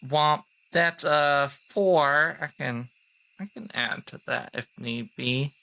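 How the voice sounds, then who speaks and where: Bright, forceful man playing a tabletop game. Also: on the high side, slow pace, vocal fry, rough recording. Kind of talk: casual improvised roleplay chat.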